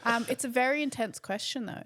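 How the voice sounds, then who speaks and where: Woman, podcast co-host, higher voice, bantering with quick comebacks. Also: a bit bright. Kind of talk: casual interview chat.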